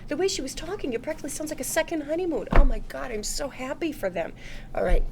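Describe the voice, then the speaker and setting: A woman playing a chatty, excitable, anxious wife in a table read of a TV drama, gushing and rambling with emphasis. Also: high-pitched, fast. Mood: gushing, envious. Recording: room noise.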